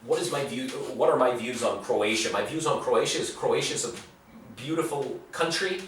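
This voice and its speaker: A man's voice: mid-range voice, normal speed, fairly bright tone, distant mic, a little hiss or hum. A man talking bluntly in a casual vlog, answering viewer questions. He rambles, repeats words and swears freely. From United States. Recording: very echoey room, voice off-mic.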